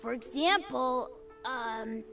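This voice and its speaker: An earnest, boastful small child who fancies being a martial arts master. The voice is high and excitable, game dialogue heard a bit distant over music. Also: female voice, takes it slowly, gravelly, heavily acted. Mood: proud. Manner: earnest, excitable, self-important. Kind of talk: video game voice acting.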